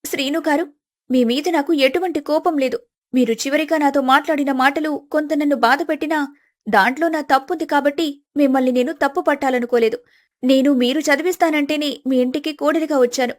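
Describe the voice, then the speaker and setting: Woman doing a young wife in a family story, really high voice, polite but firm, measured. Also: lightly acted. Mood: firm, composed. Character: calm, firm, independent young married woman.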